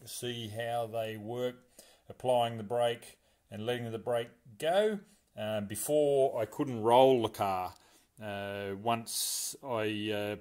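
A middle-aged man, explaining a brake repair step by step in a casual, practical way, with plenty of fillers. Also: mid-range voice. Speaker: home mechanic restoring a car. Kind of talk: casual how-to narration. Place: home garage workshop.

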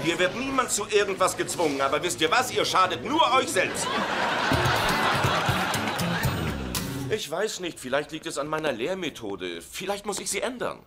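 Excitable, blustering husband, animated and high. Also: male voice. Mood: flustered. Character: hapless, excitable blue-collar husband working as substitute teacher. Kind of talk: dubbed sitcom acting. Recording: noisy sitcom soundtrack with clicks.

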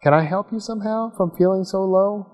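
A man's voice: high-pitched, brisk, long reverb tail, highs cut off, voice pushed up, close to an everyday voice. Man reading as a kindly, grand old rocket. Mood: concerned, kindly. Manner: reassuring, encouraging. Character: kindly grand old giant male rocket, encouraging mentor.

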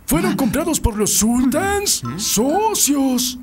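An actor playing a cocky, boastful soccer star in a melodramatic cartoon dub. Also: male voice, very high voice, big pitch swings, takes it slowly, faint music behind, full character voice. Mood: indignant. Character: vain, cocky star male soccer player. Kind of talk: Spanish-dubbed cartoon acting.

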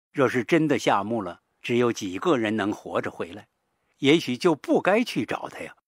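A man's voice: on the low side, husky, no room sound, clean studio sound. A man narrating a horror-mystery audiobook in a dramatic, scripted storytelling style.

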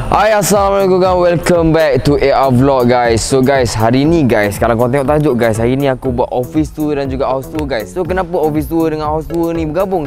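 Brief: young Malaysian guy vlogging, rapid chatty delivery, music under. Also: mid-range voice. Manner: chatty, fillers, frequent "guys". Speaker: vlogger host. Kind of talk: casual vlog to camera.

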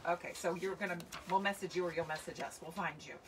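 A woman's voice: lowish voice, fairly even intonation, quick pace. A woman in her forties, a children's author reading a storybook and chatting casually on a livestream. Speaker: children's book author and reader. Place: covered outdoor patio by trees. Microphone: phone or camera a few feet away. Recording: distant mic, clear outdoor background noise.

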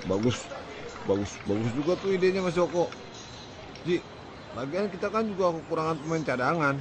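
A man's voice: a little high, animated, moderate pace, background noise, natural voice. An actor playing an easygoing young man chatting with his childhood friend in a radio drama, using casual slang.

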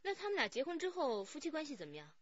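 An actress playing a polite, persistent police investigator in a Chinese radio drama, courteous and probing. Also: female voice, high voice, huge pitch swings, very fast, no room sound. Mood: curious, probing. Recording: dull radio drama recording.

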